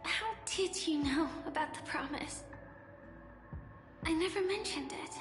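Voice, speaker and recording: An actress playing a gentle, shy, wistful young woman in a video game, soft-spoken and hesitant. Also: extremely high pitch, a little slow. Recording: reverberant hall echo, music behind.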